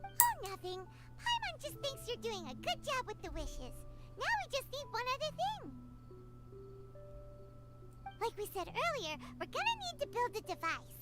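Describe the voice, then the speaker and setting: A woman's voice: extremely high pitch, huge pitch swings, measured pace, pauses often, exaggerated voice. A woman voicing a tiny, chirpy fairy-like girl companion who calls herself by name. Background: game music.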